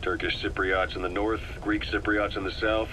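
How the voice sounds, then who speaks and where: An actor playing a composed, worldly military operative giving a measured briefing in a video game. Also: male voice, fairly low pitch, flat delivery, pushed and bright, slight background noise. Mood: matter-of-fact. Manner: measured, matter-of-fact, explanatory.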